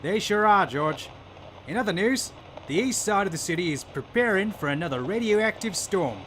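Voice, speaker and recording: An actor playing a cheesy TV news anchor who reads the news with smooth newscaster delivery. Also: male voice, high voice, lively intonation, moderate pace, fairly bright tone, slight background noise, rough recording.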